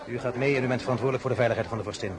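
A man acts out an imperious emperor in a radio drama, with a clear murmur of a crowd around him. He is pompous and mocking, commanding with theatrical turns from grand address to pointed aside. His pitch sits in the middle for a man. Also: fairly even intonation, rapid-fire, close to an everyday voice. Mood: sardonic, commanding.

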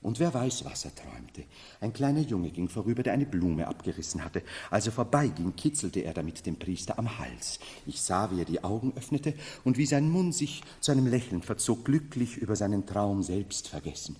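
An actor in a 1970s German radio drama playing a bitter, mocking nobleman who feigns madness as a medieval emperor, declaiming theatrically between hush and outcry. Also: fairly low pitch, sing-song, normal speed. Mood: quiet, reflective. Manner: declamatory, swinging from hushed to exclaiming. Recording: reverberant hall.

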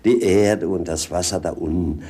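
A man's voice: sits low, steady tone, moderate pace, mellow, breathy edge. An actor in a 1950s German radio drama playing a jolly, wine-loving, mocking servant, theatrical and savouring his words. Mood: contented, grandiose.

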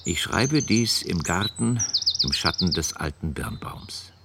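Deep, gravelly old father. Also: expressive, unhurried, very noisy, heavily acted. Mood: gently reproachful. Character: gently reproachful older father writing a letter. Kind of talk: German radio drama letter reading.

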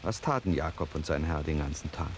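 A man's voice: a bass voice, a little fast, noisy background. A man playing a skeptical, nitpicking listener in a radio play, pointed and inquisitive. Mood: curious. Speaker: questioner in radio drama.

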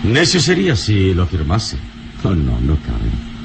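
Very deep, serene radio hero. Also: male voice, wildly swooping pitch, vocal fry, exaggerated voice. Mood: solemn, romantic. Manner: unhurried, courtly, philosophical. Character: serene, gallant adventure hero with a majestic air. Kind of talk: old radio serial acting. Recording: noisy old radio serial recording.

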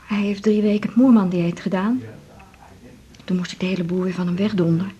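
A woman's voice: mid-range voice, fairly even intonation, a little fast, dark tone, muffled recording, close to an everyday voice. A middle-aged woman acting a nervous, confiding wife of a patient in a 1980s radio drama, talking in a homely, hesitant way. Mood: worried, confiding.

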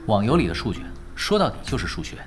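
Chill teasing young gamer dude, radio drama, really lively pitch swings, music underneath. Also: male voice. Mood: matter-of-fact. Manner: unhurried, nonchalant, teasing. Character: laid-back, wry young male pro gamer. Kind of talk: Chinese radio drama acting.

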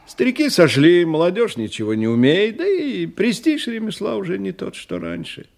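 A man's voice: high-pitched, huge pitch swings, moderate pace, creaky, heavily acted. An actor playing an evasive agent who nervously reports to his boss in a hesitant, deferential way, in radio drama style. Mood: hesitant, self-assured. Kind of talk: Russian radio drama acting, circa 1990.

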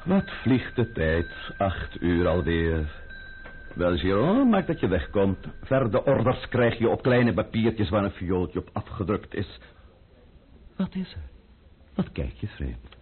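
A man's voice: fairly low pitch, very animated, narrow, tinny audio. A male actor playing a cunning, menacing, mocking man of power in a theatrical radio play. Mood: coolly menacing. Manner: sardonic, theatrical, deliberate.

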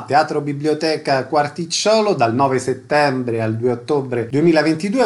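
A man hosting an arts radio programme, presenting events and asking concise interview questions. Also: on the high side.

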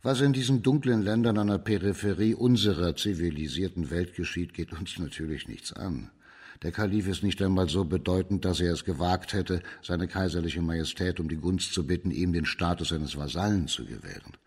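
A man playing an authoritative, shrewd judge in a radio crime drama, speaking formal in a measured, commanding way. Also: low voice, a little mellow, breathy edge. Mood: lofty, commanding. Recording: reverberant hall.

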